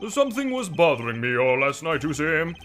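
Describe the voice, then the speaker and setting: A man's voice: a little high, very animated, fairly quick, fairly bright tone. A man voicing a pensive, troubled elderly lawyer in a video game fandub, with a grave, old-fashioned manner. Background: music.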